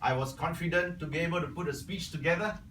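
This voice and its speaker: A man whose first language is Cantonese gives an earnest prepared speech to his club as its incoming membership officer, often clearing his throat. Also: a little high, steady tone, fast talker, fairly bright tone, slight background noise. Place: club meeting room. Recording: echoey room, from far off.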